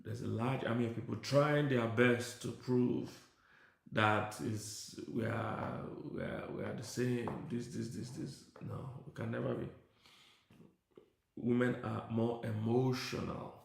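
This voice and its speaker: A man's voice: mid-range voice, steady tone, measured pace, creaky, roomy sound. A middle-aged male pastor giving a conversational sermon, now and then breaking off to talk to his tech team. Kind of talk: sermon teaching.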